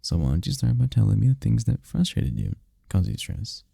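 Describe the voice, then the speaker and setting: A man playing a tender, powerful incubus boyfriend in an intimate roleplay ASMR, speaking softly and soothingly with unhurried, caring murmurs. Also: very animated, dry recording, clean studio sound, full character voice. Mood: gentle, reassuring. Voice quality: deep, dark, gravelly, husky. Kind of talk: intimate roleplay ASMR, scripted comfort monologue. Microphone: close mic, dry.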